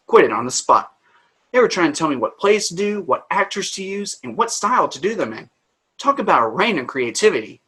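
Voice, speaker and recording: A mid-century director acted by a man justifies himself in a blunt theatrical monologue, straight to the listener. The voice is on the high side and husky, swinging animatedly in pitch with defiant emphasis. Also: sharp tone, exaggerated voice. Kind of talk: theatrical monologue in a staged play reading.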